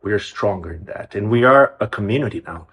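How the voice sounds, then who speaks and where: Earnest Brazilian-born man, low voice. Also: lively intonation, brisk, a bit bright. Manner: earnest, with frequent restarts and hesitations. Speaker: executive director of a music program. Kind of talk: interview answers.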